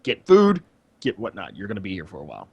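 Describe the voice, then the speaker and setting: A man's voice: low-pitched, huge pitch swings, quick pace, vocal fry, dark tone, slightly rough, no room sound. A man chatting casually as the co-host of a gaming podcast.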